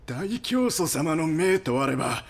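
An actor playing a zealous, obedient cult warrior with a husky voice and a reverent, determined manner. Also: male voice, on the high side, big pitch swings, a little slow, full character voice. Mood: devoted, resolute. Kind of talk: Japanese audio drama acting.

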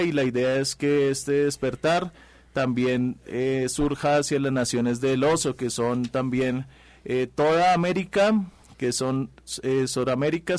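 A man from Colombia, a guest explaining a ceremony in a calm, earnest way with frequent hesitations. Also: medium pitch, no echo.

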